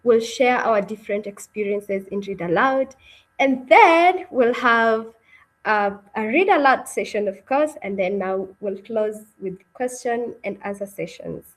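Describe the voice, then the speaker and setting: A woman's voice: a little high, measured pace. A woman hosting an online session as an informal presenter, often putting questions to her listeners and checking in with them. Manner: addresses listeners with questions and repeated check-ins. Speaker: webinar host.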